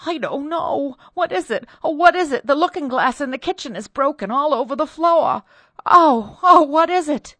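Scared superstitious woman wailing high and shaky, a woman reader doing her, dry. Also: expressive, moderate pace, fairly bright tone, dry recording. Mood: frightened.

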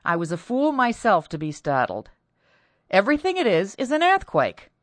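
A woman voicing a stubborn, resentful husband who speaks loudly and bluntly in exasperation. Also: lowish voice, expressive, normal speed, no room sound. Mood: exasperated.

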